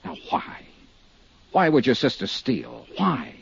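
A man's voice: mid-range voice, sing-song, takes it slowly, creaky, husky, full character voice. An actor playing an earnest, dogged male insurance investigator in a 1950s radio drama, with pressing, clipped delivery. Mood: urgent, pleading. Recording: muffled 1950s radio recording with music.

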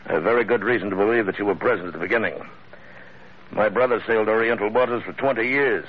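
An actor playing a suave middle-aged villain in an old-time radio drama, speaking in courtly, ornate sentences with polite menace. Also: male voice, on the low side, slightly rough. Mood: insistent, controlled. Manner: courtly, unhurried, ornate formal speech. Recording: muffled, phone-like old radio recording.

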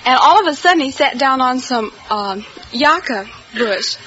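High-voiced woman, radio quiz contestant. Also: animated, normal speed, pushed and bright. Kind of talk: casual unscripted anecdote and short answers. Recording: dull vintage radio broadcast recording.

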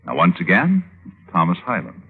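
Male announcer, mid-pitched and very varied, declamatory 1950s radio introduction, tinny band. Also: wildly swooping pitch, moderate pace, heavy background noise. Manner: formal, declamatory. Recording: tinny old radio recording. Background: music.

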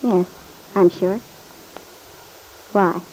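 Breezy showgirl in mellow vintage radio drama. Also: fairly low pitch, fairly even intonation, extremely slow. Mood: breezy, defiant. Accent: American. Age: young adult. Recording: noisy vintage radio recording.

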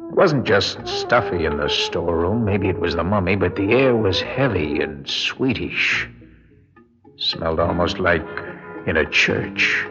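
Jittery guilty burglar, slangy noir radio drama, low pitch, music underneath. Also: male voice, animated, unhurried. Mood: tense, brooding. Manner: nervy, hard-boiled, slangy. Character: jittery guilt-ridden male burglar narrating his own crime. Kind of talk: radio drama acting with first-person noir narration. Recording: muffled vintage radio recording, music behind.

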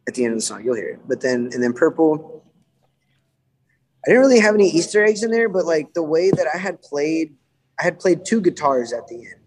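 A man's voice: on the high side, sing-song, fairly quick, darkish tone, poor audio. A young adult man, a musician, chatting casually about his album, rambling with lots of fillers and humming guitar parts aloud. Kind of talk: casual podcast chat.

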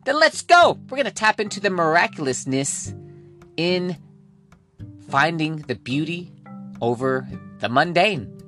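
A man's voice: a little high, lively intonation, a little slow, long pauses, sharp tone, soft background music. A man hosting a motivational podcast, delivering a scripted monologue in a hyped, playful way and drawing out key words for drama. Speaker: podcast host.

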